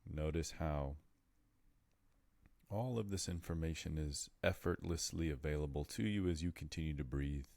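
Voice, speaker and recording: A man leading a guided meditation, speaking in soothing, measured phrases with deliberate pauses. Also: a bass voice, vocal fry, darkish tone, no room sound.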